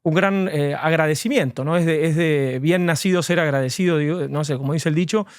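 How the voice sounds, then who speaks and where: A man's voice: on the high side, fairly quick, clean tone, dry recording, clean studio sound. A man, an immigration lawyer, answering interview questions earnestly and at length, with frequent fillers.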